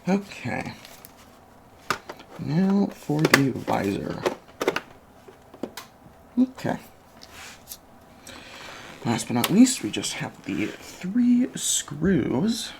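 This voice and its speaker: A person doing a soft-spoken ASMR roleplay as a repair technician, murmuring hesitantly to the listener through each step. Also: mid-range voice, big pitch swings, drawn-out words, pauses often. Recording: constant fan noise, clicks and chair creaks. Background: computer fan hum, clicking and typing.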